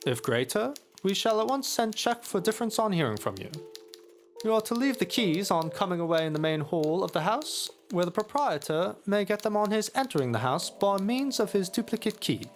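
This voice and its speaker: A formal Victorian solicitor, dictates a letter in a radio play. The delivery is clipped and officious, with pitch that swings up and down, over faint music. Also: male voice, sing-song, fairly quick. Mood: officious, courteous. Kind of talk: dramatic audio drama letter reading.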